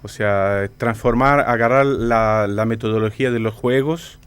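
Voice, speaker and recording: A man from Brazil with a Brazilian accent and native Portuguese, giving halting explanatory interview answers. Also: lowish voice, fairly even intonation, clear, resonant tone. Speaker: interview guest, company director.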